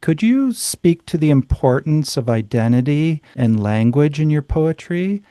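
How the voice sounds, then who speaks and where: A man hosting a reflective interview about haiku, speaking gently and thoughtfully as he asks layered questions. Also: a little high, sing-song, measured pace, no echo. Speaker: podcast host and haiku judge.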